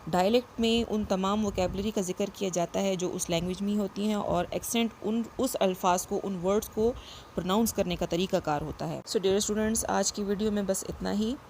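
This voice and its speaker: A woman teacher with a voice, explaining a lesson patiently and reading English definitions out slowly. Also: mid-range voice, darkish tone, slight background noise. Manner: explains patiently, repeats points, reads English lines slowly.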